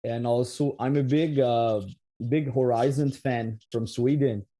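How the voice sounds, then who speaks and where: A man's voice: mid-range voice, steady tone, a little slow, warm tone. A man in his thirties with a Québécois French accent, a metal musician answering interview questions in a rambling way, full of restarts and fillers. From Quebec, Canada. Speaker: metal band musician, guest.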